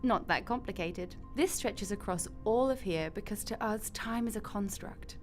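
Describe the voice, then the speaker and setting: A woman's voice: medium pitch, normal speed, soft background music, close to an everyday voice. A woman acting in a radio drama as a breezy, glib God who runs the afterlife with chatty, flippant matter-of-factness. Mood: matter-of-fact explaining.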